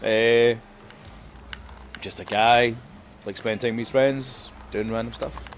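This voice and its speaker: A man's voice: mid-range voice, steady tone, takes it slowly. A man chatting casually with friends while gaming, in a rambling, self-deprecating way. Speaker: podcast guest, dungeon master. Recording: clearly noisy background.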